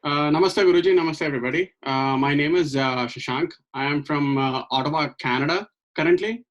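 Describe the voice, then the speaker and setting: Earnest young man on a dull video call. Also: fairly high pitch. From Hyderabad, India. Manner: earnest, hesitant with many "uh" pauses. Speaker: student. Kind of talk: self-introduction on video call. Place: bedroom at home. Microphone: laptop webcam on video call.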